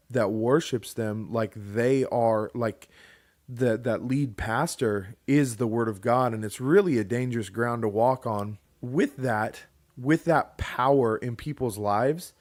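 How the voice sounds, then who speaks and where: A man's voice: mid-range voice, a little slow, a little mellow. Rambling man telling a story. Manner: rambling with restarts, joking asides. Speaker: podcast co-host. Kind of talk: casual storytelling chat.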